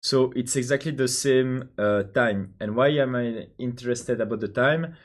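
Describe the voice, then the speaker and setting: A young man from Belgium with a French accent, thinking out loud while coding, hesitant with many pauses and restarts. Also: medium pitch. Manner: hesitant, many ums and restarts, answers chat questions. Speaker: programmer live-streaming his coding.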